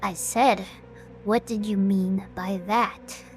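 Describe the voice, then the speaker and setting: A woman's voice: medium pitch, steady tone, takes it slowly, a little mellow, faint music behind, natural voice. A woman playing a hurt, combative young streamer in an audio drama, talking in a sarcastic, confrontational way. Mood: angry, demanding.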